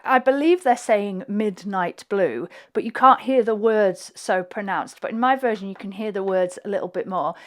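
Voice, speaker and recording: Slightly croaky woman explaining her music session. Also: medium pitch. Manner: chatty, thinking aloud while clicking through tracks. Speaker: flutist and home producer. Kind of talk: talking through a music session on screen.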